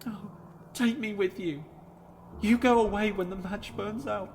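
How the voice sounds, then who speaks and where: A man's voice: sits high, steady tone, measured pace, a little hiss or hum, pitched above the performer's own voice. A man voicing a poor, lonely little girl in a storybook reading, pleading and emotional.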